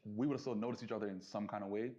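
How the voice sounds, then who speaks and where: A man talking casually and reflectively as an interview guest, earnest and halting, circling back over his phrases. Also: lowish voice, fairly even intonation, crowd noise. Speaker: interview guest, business entrepreneur. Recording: dull lo-fi audio.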